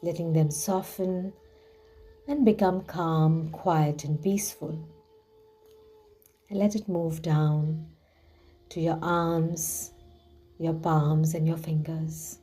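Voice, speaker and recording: A woman leading a guided relaxation visualization in a soothing, hushed manner with gently drawn-out phrasing. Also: low voice, fairly even intonation, slightly rough. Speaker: guided meditation host. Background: background music.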